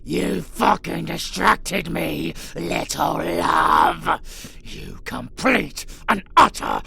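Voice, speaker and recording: An actor voicing a gleefully deranged, bloodthirsty immortal vampire monster in a horror audio roleplay, gravelly and growling, foul-mouthed and taunting. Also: mid-range voice, lively intonation, very slow, heavily acted. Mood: furious. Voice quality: gravelly, growling, breathy cackles. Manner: foul-mouthed, taunting, manic, swinging from whispers to shouts.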